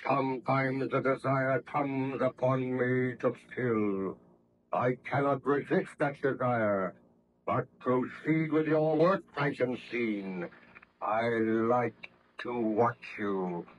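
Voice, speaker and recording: An actor playing a brooding, vengeful monster, speaking slowly and formally with menace in 1930s radio drama style. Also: male voice, medium pitch, breathy edge. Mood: cold menace, then sinister calm. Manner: slow, menacing, deliberate, formal. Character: brooding, vengeful male monster, hideous murderous creation. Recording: dull lo-fi old radio recording.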